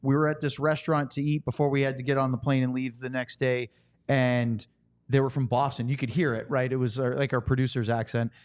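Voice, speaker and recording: A man's voice: mid-range voice, level tone, fairly quick, smooth. A man hosting a sports podcast, talking in a casual, bantering style. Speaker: podcast host.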